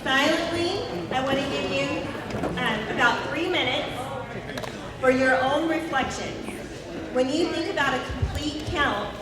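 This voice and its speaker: A woman with a Southern US accent runs a group workshop, her fairly high voice upbeat and patient as she walks the room through each step. She sounds far from the mic, with the long echo of a big hall and a crowd murmuring throughout. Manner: upbeat, instructive, repeats instructions slowly. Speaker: workshop facilitator. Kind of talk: leading a group workshop session. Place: large meeting hall with many tables.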